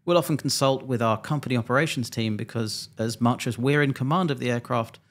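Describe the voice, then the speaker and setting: A man around forty, an airline pilot, presenting a scripted explainer in a measured, methodical, slightly dry way, close to a desk microphone. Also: mid-range voice. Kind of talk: scripted explainer narration to camera. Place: small home studio indoors.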